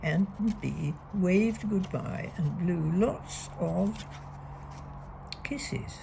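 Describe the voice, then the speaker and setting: A woman reading a picture book aloud to young children, deliberately and expressively, leaning on key words. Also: fairly low pitch, gravelly, noisy background. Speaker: storybook reader.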